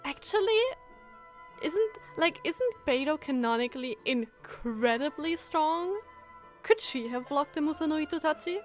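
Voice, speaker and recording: High-voiced, chatty woman streaming a game. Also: lively intonation, a little slow. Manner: chatty, reactive, musing aloud. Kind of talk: casual live game commentary. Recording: game music behind the voice. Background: game music.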